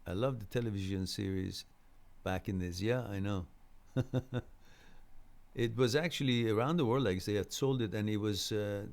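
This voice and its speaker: A middle-aged man whose first language is Farsi, hosting an informal live question-and-answer lecture on mental health, explaining in a halting way with pauses. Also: on the low side, a little mellow.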